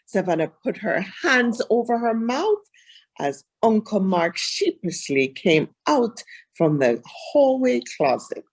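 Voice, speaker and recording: A woman's voice: mid-range voice, a little mellow, breathy edge. A woman reading a children's storybook aloud expressively.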